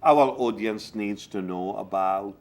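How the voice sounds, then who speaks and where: A man's voice: fairly low pitch, slow pace. A man hosting a community TV interview, encouraging and full of follow-up questions. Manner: asks many follow-up questions, lively and encouraging. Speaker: talk show host.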